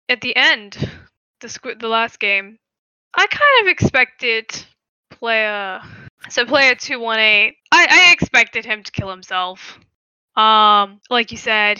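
A woman's voice: on the high side, slow pace, pushed and bright, clear, resonant tone, no room sound. A woman co-hosting a podcast, chatting casually about a TV series and stammering through her thoughts. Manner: stammering, hesitant, many fillers. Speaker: podcast co-host. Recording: dull lo-fi dry recording.

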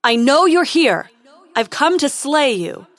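A woman's voice: high voice, sing-song, normal speed, no room sound, pitched above the performer's own voice. A woman voicing a pompous, hasty young prince in a storybook, given to declaiming. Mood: bold challenge. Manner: loud, declamatory. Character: young, pompous, hasty heroic prince who loses nerve. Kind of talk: storybook character voice.

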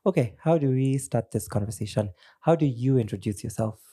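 A woman hosting a podcast, asking loose, rambling questions in a relaxed, joking way. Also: rumbling low, fairly quick, breathy edge. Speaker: podcast host. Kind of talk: casual interview chat.

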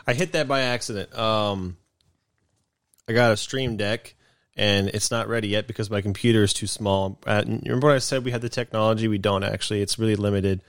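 A man's voice: fairly low pitch, steady tone, a little fast, no room sound. A young man co-hosting a casual comedy podcast, rambling and dry-joking, often stopping and restarting mid-sentence. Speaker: podcast co-host. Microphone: desk mic with cameras.

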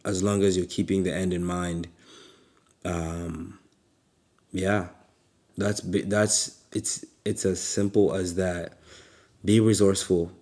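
Ugandan-raised guy, deep voice, slow easy motivational talk, lots of ums. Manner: relaxed, halting, with fillers and laughs. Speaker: motivational speaker.

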